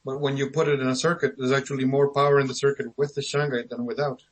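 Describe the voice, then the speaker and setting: A man from Argentina, a guest on a radio show, answers questions calmly and briefly. His voice sits at a medium pitch. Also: fast. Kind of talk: conversational interview answers.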